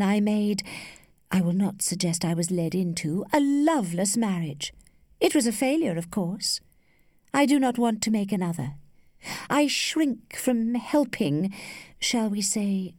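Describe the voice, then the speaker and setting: A woman voicing a worldly, flirtatious lady who teases with cool indulgence in a measured, studied way, as in a storybook. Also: mid-range voice, lively intonation, darkish tone, no room sound, studio quality, pitched above the performer's own voice, close to an everyday voice. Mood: earnest, rueful. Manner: studied, measured, archly playful.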